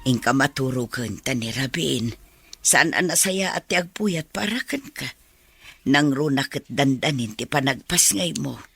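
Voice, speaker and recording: Devoted middle-aged Filipino mother, radio drama, husky, very expressive swings, worried and forgiving. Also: rumbling low, a little slow, no echo, faint music behind, full character voice. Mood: caring, concerned.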